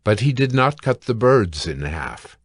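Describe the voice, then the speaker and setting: A man narrating scripture from a script in a measured, formal reading manner. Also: medium pitch, big pitch swings, dry recording, studio quality.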